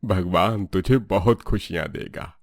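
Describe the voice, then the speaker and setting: A man's voice: low voice, no echo, clean studio sound. A man playing a humble, grateful old beggar in a storybook drama, speaking with grandfatherly tenderness. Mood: grateful, moved. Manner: slow, pleading, blessing. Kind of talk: storybook audio drama acting.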